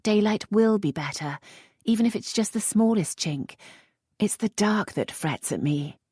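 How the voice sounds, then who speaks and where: A woman narrator voicing an impetuous, sharp-tongued young lady in a Regency audiobook, in a raised, bright voice. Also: medium pitch, lively intonation, a little slow, breathy edge, no room sound, studio quality, pitched above the performer's own voice. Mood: anxious teasing.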